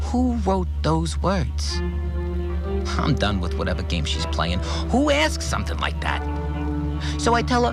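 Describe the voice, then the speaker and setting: An irritable, controlling cleaner narrates an audio drama in a fairly high male voice that swings widely in pitch. His delivery is terse, impatient and contemptuous. Clear music runs behind his monologue. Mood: exasperated, stern. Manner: impatient, contemptuous, terse storytelling in short sentences. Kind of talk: scripted first-person audio drama monologue, confessional tape.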